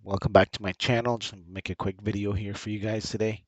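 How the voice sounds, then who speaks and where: A man's voice: on the low side, level tone, fairly quick, dry recording. A man with a slight cold, narrating a casual screen-share tutorial in a hesitant, repetitive explaining way. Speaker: channel host and tutorial presenter.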